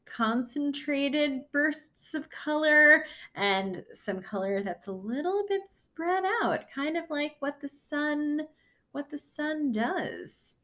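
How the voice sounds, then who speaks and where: A woman reads a children's picture book aloud at a very unhurried pace. Her pitch rises and falls in a lively way, and her delivery is deliberate and explanatory. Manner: deliberate, explains characters' feelings to listeners. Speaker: story reader.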